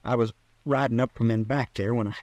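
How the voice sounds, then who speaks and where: An actor playing a wounded, defiant and bitter cowboy in an old-time radio western, sullen and folksy. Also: male voice, mid-range voice, steady tone, fairly quick, a little mellow, no echo, lightly acted. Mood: pained, defensive.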